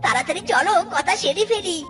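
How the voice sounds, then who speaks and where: A woman voicing an excitable, lovestruck male cartoon suitor, gushing and exaggerated. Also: very high voice, fast. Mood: eager wooing. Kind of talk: cartoon story dialogue. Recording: music behind the voice.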